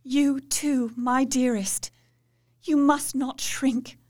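A woman playing Mina, a devoted young wife, speaking with slow, solemn, pleading resolve in a radio play. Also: sits high, steady tone, darkish tone. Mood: tender.

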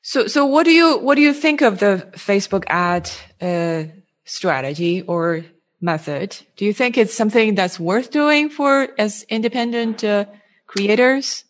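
Female interviewer, medium pitch, informal, feels her way through each question. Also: expressive. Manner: halting, rephrases questions midway.

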